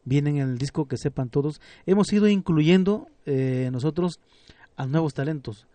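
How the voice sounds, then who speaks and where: Unhurried middle-aged man, mellow voice. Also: fairly high pitch, no room sound. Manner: unhurried, hesitant, frequent fillers. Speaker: artistic director of a family music group. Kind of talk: radio interview guest.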